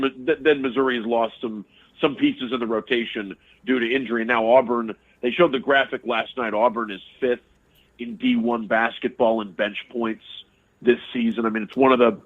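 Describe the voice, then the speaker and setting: A man breaks down a game in casual sports talk, speaking quickly and often repeating words as he works through a thought. His voice comes through a narrow, phone-like line. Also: mid-range voice. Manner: fast, repeats words while thinking. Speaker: radio sports broadcaster, guest.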